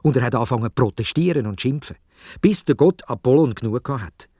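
A man's voice: medium pitch, lively intonation, fast talker, dark tone, no echo, clean studio sound. A man with a Swiss German accent telling a story for children in a dramatic way, addressing his listeners directly.

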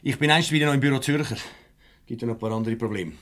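An actor playing a hurried, businesslike office boss with a Swiss German accent, giving formal instructions in 1970s radio comedy style. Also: male voice, medium pitch, a bit bright, natural voice.

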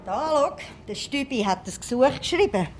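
Dry village official. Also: female voice, high-pitched, big pitch swings, normal speed, a little hiss or hum. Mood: dry, mocking. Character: dry, disapproving female village council official. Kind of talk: radio play dialogue.

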